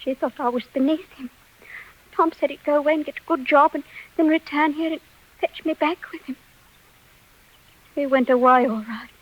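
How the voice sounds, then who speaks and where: A woman's voice: high-pitched, normal speed, long pauses, lightly acted. An actress playing a forlorn but proud young English servant girl in a 1940s radio drama, plaintive and emotional. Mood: despairing, hurt. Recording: dull 1940s radio recording.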